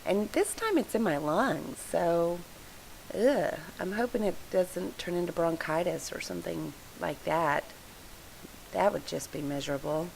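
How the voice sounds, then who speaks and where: Hoarse, gravelly-voiced woman chatting casually. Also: on the low side, a little slow, a little hiss or hum, poor audio. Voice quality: froggy, gravelly voice. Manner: chatty, rambling, apologetic. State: hoarse, congested from allergies. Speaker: scrapbooking video host. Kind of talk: casual voice-over narration of a craft process. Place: home scrapbook room.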